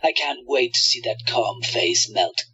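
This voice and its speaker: A man's voice: medium pitch, expressive, unhurried, pushed and bright, lo-fi sound. A man playing a smug, scheming radio-play villain who gloats theatrically and plots revenge. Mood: gloating vengeful.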